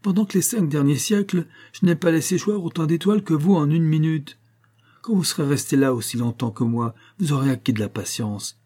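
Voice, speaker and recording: Man doing a loud scheming giant, high booming voice, husky, dark-toned. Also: fairly quick. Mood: sly. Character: boastful sly male giant, loud.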